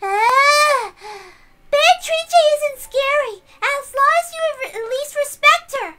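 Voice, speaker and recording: A small, witch-worshipping girl bursts out in a very high, bright voice. She is loud and shrill, swooping through drawn-out exclamations in a visual novel reading. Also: expressive, heavily acted. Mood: gleeful, eerie. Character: excitable little girl who reveres a witch.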